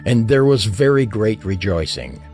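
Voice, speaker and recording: A man reading scripture as the narrator of an audio drama, in a measured, formal manner. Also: on the low side, expressive, a little mellow, soft background music.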